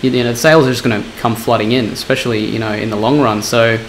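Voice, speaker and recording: A man's voice: medium pitch, steady tone, fast, a little hiss or hum, low-quality recording. A young man in his twenties interviewing a guest over a video call from a small room at home, encouraging and full of fillers like "you know" and "um". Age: 20s. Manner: encouraging, filler-heavy, repeats phrases. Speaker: interviewer and e-commerce coach. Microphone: video call webcam.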